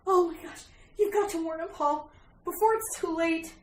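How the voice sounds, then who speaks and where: A woman's voice: very high voice, normal speed. A woman playing a frantic, pleading wife haunted by fear of a curse in a retro radio horror play. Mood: frantic warning. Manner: pleading, breathless urgency. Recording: roomy sound with faint noise.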